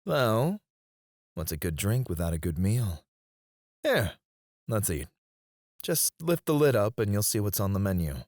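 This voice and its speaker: A man playing a possessive, outwardly gracious CEO in an intimate scripted ASMR roleplay, speaking in a measured, suave manner. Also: lowish voice, very animated, no echo. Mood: gracious, pleased. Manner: measured, suave, soft chuckles and sighs. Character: smooth, possessive male CEO, outwardly gracious, quietly controlling.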